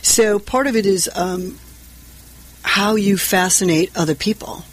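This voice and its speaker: A woman's voice: medium pitch, measured pace, husky, rough recording. A woman brand coach in an interview, talking earnestly and explaining with anecdotes. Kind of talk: casual interview talk.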